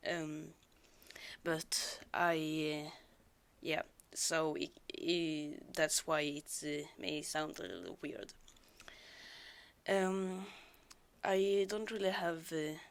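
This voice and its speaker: A woman's voice: low voice, fairly bright tone, clean tone. A woman with a sore throat, talking casually and hesitantly with many fillers before reading a scary story aloud.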